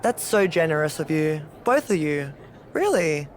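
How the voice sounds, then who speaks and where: A woman's voice: sits low, very animated, a little slow. A woman playing a cocky, teasing champion wrestler in a video game cutscene. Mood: coolly sly.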